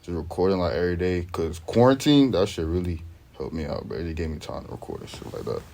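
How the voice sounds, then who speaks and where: Laid-back young man, deep mellow voice. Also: very animated, fast, creaky, slight background noise. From Sweden. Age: young adult. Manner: laid-back slangy talk. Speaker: rapper guest. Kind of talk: casual interview chat.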